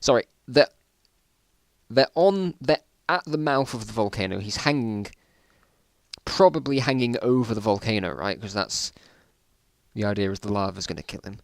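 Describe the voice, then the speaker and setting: Man, creaky voice, casual story narration, bemused self-mocking asides, dry close recording. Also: lowish voice, expressive, normal speed, no room sound. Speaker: narrator reading own old school stories. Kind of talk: casual dramatic reading with offhand commentary.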